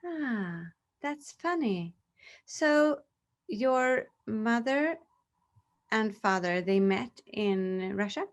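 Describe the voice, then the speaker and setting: A woman's voice: on the high side, lively intonation, drawn-out words. A woman from the publishing side interviewing an author in casual chat, curious and encouraging, laughing along.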